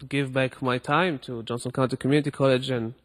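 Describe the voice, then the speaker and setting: A man's voice: mid-range voice, fairly even intonation, fairly quick, big-room sound. A young man from Israel with an Israeli accent, a native Hebrew speaker, giving a short earnest closing speech. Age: young adult. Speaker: former student body president.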